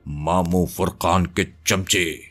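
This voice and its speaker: A man voicing an angry, arrogant male spirit that possesses a woman, speaking slowly and threateningly in an audio story. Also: deep voice, lively intonation, slightly rough. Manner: slow, angry, threatening, imperious.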